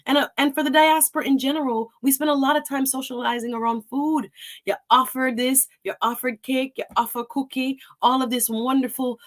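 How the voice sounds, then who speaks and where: A woman's voice: high-pitched, fairly even intonation, fairly quick. Upbeat woman hosting a talk show. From Jamaica. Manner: chatty, upbeat, laughs often. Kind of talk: live-stream talk show intro.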